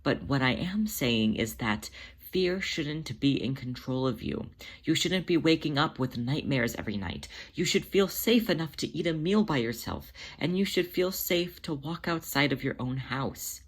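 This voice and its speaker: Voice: mid-range voice, animated, moderate pace, slightly rough. A narrator voicing a motherly female cat who is a healer, speaking in a patient, soothing and reassuring way. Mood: calm, earnest.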